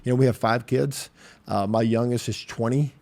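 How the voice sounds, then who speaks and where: A pastor in his late fifties hosting a podcast, talking casually and warmly with a friend, often adding "man" and "yeah.". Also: mid-range voice, fairly even intonation, moderate pace, gravelly. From southeastern Louisiana. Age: 50s. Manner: warm, affectionate, says "man" and "yeah" a lot. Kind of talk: casual conversation.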